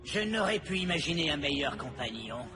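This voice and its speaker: A man's voice: fairly high pitch, sing-song, fairly quick. An actor playing a bitter, aggrieved villain's henchman in a dubbed cartoon. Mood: bitter, mournful. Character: aggrieved, bitter henchman devoted to his pet snake. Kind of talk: animated series dub acting. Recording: music behind the voice.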